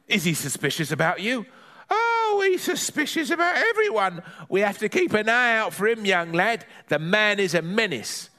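A man doing a high, gossipy old granny. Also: big pitch swings, normal speed, bright, forceful tone, full character voice. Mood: urgent. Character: cheeky scheming old grandmother.

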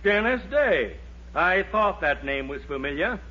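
A man's voice: sits high, very animated, measured pace, sharp tone, slightly rough, full character voice. An actor playing a suave, shrewd male society editor in 1940s radio sitcom style. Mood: suspicious, menacing. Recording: muffled, noisy 1940s radio recording.

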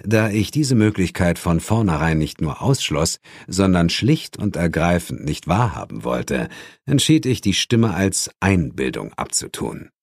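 A man's voice: low-pitched, very animated, a little slow, creaky, husky, no room sound. A man reading a first-person audiobook story in a dramatic, drily ironic manner. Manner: wry, dry irony. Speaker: audiobook narrator.

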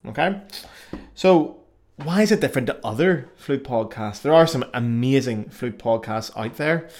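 A young man with a Belfast accent, hosting a solo podcast in a chatty, self-mocking way with frequent fillers. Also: medium pitch. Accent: Belfast Northern Irish. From Northern Ireland. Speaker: podcast host. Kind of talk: casual solo podcast monologue.

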